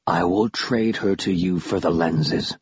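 A man voicing a menacing half-metal villain for an audiobook, cold and calculating, with a slow, deliberate delivery. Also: sits low, sing-song, creaky, slightly rough, voice pushed down, full character voice. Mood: cold bargaining. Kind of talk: audiobook character voice. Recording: roomy echo, dull lo-fi sound.

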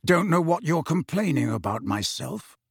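A grim, cooped-up man in an audiobook, as a male narrator plays him. He sounds bitter and sarcastic, with a husky voice and animated swings of pitch. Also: normal speed, dry recording, studio quality, exaggerated voice. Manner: sarcastic, colloquial.

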